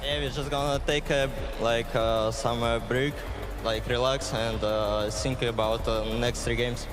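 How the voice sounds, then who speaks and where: Slow, hesitant male esports player. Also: steady tone. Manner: hesitant, low-key. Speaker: esports player being interviewed. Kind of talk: brief, casual interview answers. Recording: loud music behind the voice.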